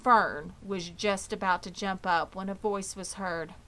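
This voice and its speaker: A woman reading a children's story aloud as scripted narration, with expressive storytelling delivery. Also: medium pitch, a little slow. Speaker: audiobook reader.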